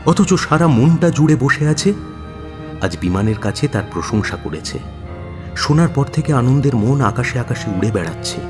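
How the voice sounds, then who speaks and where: A man's voice: medium pitch, very animated, moderate pace. A man narrating a scripted audio story in a dramatic style. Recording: long studio reverb, music bed. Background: faint music.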